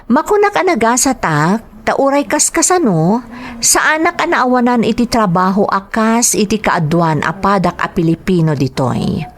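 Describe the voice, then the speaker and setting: A woman's voice: fairly high pitch, very animated, normal speed, pitched above the performer's own voice. A woman with an Ilocano accent voicing a male factory worker who earnestly narrates his letter in a radio drama. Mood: earnest, reflective.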